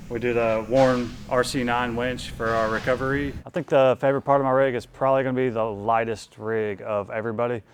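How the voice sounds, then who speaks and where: A man in a casual interview, relaxed and rambling as he describes his off-road vehicle. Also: medium pitch, a little hiss or hum. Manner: relaxed and rambling, many fillers. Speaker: off-road competitor.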